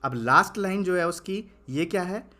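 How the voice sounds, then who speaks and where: A young man teaching English basics in Hindi, asking and answering his own questions and dictating slowly while he writes. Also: sits high, expressive, pushed and bright. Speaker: English tutor. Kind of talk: spoken English lesson with writing on a board.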